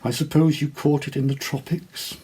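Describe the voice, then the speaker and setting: A man's voice: a little high, level tone, moderate pace, dark tone, slightly rough, pitched above the performer's own voice. A man voicing a composed lady passenger in a storybook reading, polite, matter-of-fact and lightly teasing. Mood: politely helpful.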